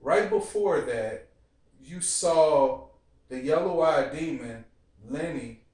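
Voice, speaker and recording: A man's voice: fairly high pitch, animated, takes it slowly, a little mellow. A man hosting a casual, profane group discussion in slangy talk, animatedly telling his points and putting questions to the others. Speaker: host of a TV series discussion show. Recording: echoey room, distant from the mic.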